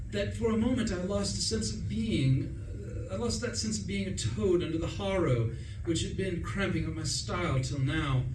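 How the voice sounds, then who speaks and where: A man giving a theatrical, wry and wordy first-person narration in a comic radio play. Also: a little high. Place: live performance in a roomy space. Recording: roomy, distant, noisy live stage recording.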